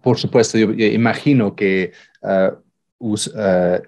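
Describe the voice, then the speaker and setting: Lower-pitched male interviewer, non-native English speaker, halting and searching for words. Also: fairly even intonation, a little mellow. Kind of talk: casual interview.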